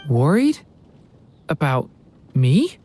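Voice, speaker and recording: A performer voicing a gentle young dragon boy in a video game, soft, earnest and hesitant. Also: mid-range voice, sing-song, extremely slow, soft background music, exaggerated voice. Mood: apologetic. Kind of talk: video game character acting.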